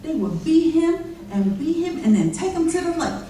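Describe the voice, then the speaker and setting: A woman's voice: very high-pitched, big pitch swings, normal speed, warm tone. A woman performing a haunted formerly enslaved eyewitness in a folksy readers theater monologue. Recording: echoey chapel from far off, noisy.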